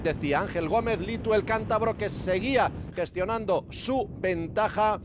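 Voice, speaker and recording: High-pitched Spanish male race commentator over noise. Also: moderate pace. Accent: Spanish (Spain). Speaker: sports commentator.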